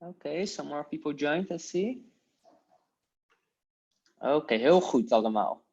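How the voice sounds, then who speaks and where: A woman's voice: sits low, lively intonation, measured pace, darkish tone, breathy edge. A woman from Poland teaching a casual online Dutch class, giving instructions in a friendly, hesitant way with fillers. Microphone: computer mic on a video call. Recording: dull lo-fi video call audio.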